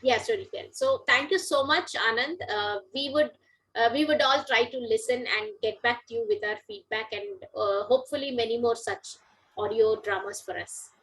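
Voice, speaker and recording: A woman's voice: a little high, normal speed, a bit bright, rough recording. A woman, hosting a conversational interview in an agreeable manner with frequent brief acknowledgements.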